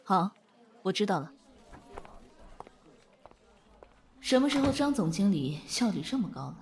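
A self-assured female department director in a modern audio drama, played by a woman. Her medium-pitched voice is curt and businesslike, with lively swings in intonation beneath the composure. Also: brisk, big-room sound, slight background noise. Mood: composed. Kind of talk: Chinese audio drama acting.

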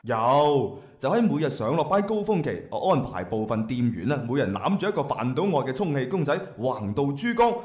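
An actor playing a brash, clueless young jobless graduate in a comic radio drama, with a Guangzhou Cantonese accent and a cheeky, rambling, hesitant manner. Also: male voice, mid-range voice, big pitch swings, normal speed, few pauses, darkish tone, natural voice. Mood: eager. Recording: echoey room.

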